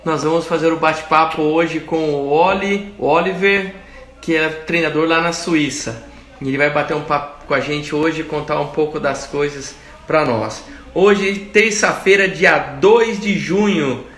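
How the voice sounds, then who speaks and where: A lively male host with a high, bright voice who greets his viewers by name on a live chat. He sometimes slips into halting English for his guest. The room sounds echoey, with faint noise behind him.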